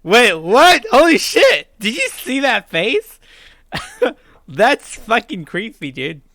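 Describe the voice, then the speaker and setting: Lively young American man, game streamer. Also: very high voice, takes it slowly, no echo, clean studio sound. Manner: irreverent, swearing. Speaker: let's play host. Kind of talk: reading game narration with casual commentary.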